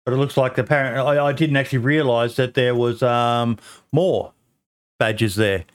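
A man's voice: medium pitch, steady tone, moderate pace, clear, resonant tone. Hesitant man hosting a podcast chat. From Australia. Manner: hesitant, many ums and restarts. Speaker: podcast host. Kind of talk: casual chat.

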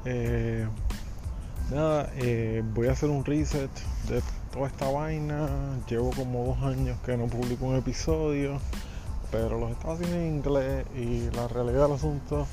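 A man's voice: medium pitch, fairly even intonation, normal speed, over music. Blunt, swearing man ranting casually. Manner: blunt, swears freely, many restarts. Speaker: podcast host. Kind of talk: casual solo podcast rant. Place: United States.

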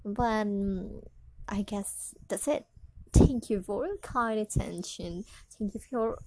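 Slow, sleepy Indonesian young woman rambling. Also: mid-range voice, very noisy. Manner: sleepy, rambling, many fillers and restarts. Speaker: podcast host. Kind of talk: rambling personal podcast monologue.

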